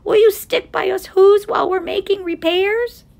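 Woman putting on a tiny high-pitched pleading mayor. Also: sing-song, unhurried, gravelly, fairly bright tone, heavily acted. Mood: shaken, pleading. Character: tiny, frightened, polite male mayor of speck people.

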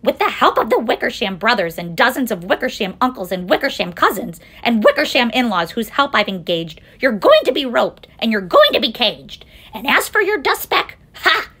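A woman's voice: on the high side, very animated, moderate pace, hardly any pauses, a bit bright, husky, pitched above the performer's own voice, exaggerated voice. Woman doing a bossy, snappish storybook kangaroo. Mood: menacing. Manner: snappish, haughty. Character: bossy, scornful big female kangaroo, storybook villain.

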